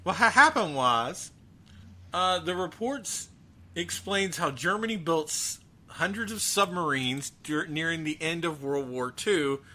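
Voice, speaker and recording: A man hosting a podcast, chatting casually and comically, incredulous and often restarting his sentences. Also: on the high side. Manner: animated, incredulous, halting restarts. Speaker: podcast host. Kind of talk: casual comedic chat about a bizarre news story.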